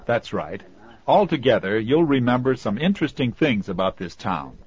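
A man's voice: mid-range voice, sing-song, normal speed, breathy edge. A man playing a polite reporter interviewing people in a scripted language-course dialogue. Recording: dull lo-fi recording.

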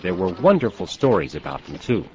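An actor playing an easygoing rancher who tells stories about the Old West in a scripted language-course dialogue. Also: male voice, sits low, sing-song, a little fast, darkish tone, a little hiss or hum. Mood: storytelling. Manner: easygoing, storytelling. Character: friendly older male rancher recounting Old West history. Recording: dull lo-fi course audio.

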